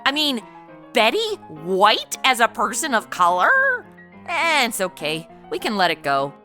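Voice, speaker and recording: A brash, snarky American party girl played by a woman with a bright, very animated voice. She banters and narrates boozily in a comedy audio drama, music faintly behind. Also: on the high side, measured pace, heavily acted. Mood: tipsy, snarky. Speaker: lead of the show.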